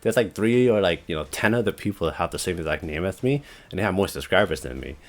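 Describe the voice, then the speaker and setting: A young man talking casually to the camera about starting a channel, with a deep, monotone voice, rambling with lots of "like" and "you know". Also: quick pace. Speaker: YouTube creator. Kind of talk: casual talking-head vlog.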